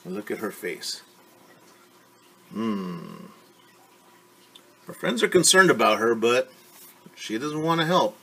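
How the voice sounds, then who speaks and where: A man in his forties reading a storybook aloud as a teacher, pausing to explain words and ask questions. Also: fairly low pitch, very animated, gravelly, pushed and bright, slight background noise. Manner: explains words slowly, asks rhetorical questions. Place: small room at home.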